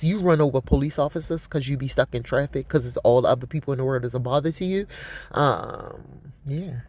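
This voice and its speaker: A woman with a deep voice giving blunt, profane, rambling commentary on a news story, laughing often. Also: no echo. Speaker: vlogger.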